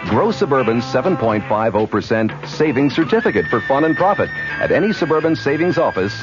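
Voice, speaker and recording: A man announcing a 1970s radio savings bank commercial with a brisk, upbeat pitch. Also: sing-song. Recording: dull lo-fi 1970s radio broadcast with music.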